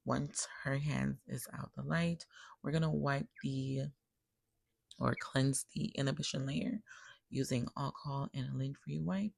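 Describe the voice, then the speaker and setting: A tired woman, a nail technician, talks viewers step by step through her work in a relaxed tutorial voice-over. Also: extremely low pitch, unhurried, creaky, breathy edge, no room sound. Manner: relaxed step-by-step explaining, addresses viewers as guys. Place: recorded separately as a voice-over.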